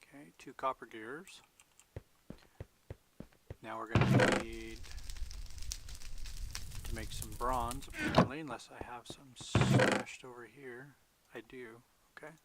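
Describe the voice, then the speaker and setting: A man's voice: medium pitch, lively intonation, slow pace, pauses often, heavy background noise. A man talking to himself as he plays a game, musing in half-whispered asides while losing his voice. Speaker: gaming video host. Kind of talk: casual solo gameplay commentary.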